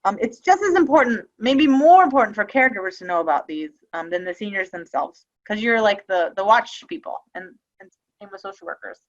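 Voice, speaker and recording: A woman's voice: medium pitch, expressive, a little fast. A woman talks through her slides on a video call in a loose, conversational way, stopping now and then to restart a sentence. Her voice sits in the middle of the range. The audio is a little dull and muffled. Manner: conversational, with frequent ums and restarts. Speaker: webinar presenter on elder abuse prevention. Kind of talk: casual webinar presentation.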